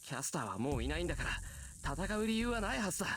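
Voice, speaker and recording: A man's voice: high-pitched, very animated, very fast, noisy background. An actor playing an earnest, headstrong teenage boy in a visual novel, urgent and insistent. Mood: urgent disbelief. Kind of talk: Japanese visual novel voice acting. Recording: game dialogue under music. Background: game music.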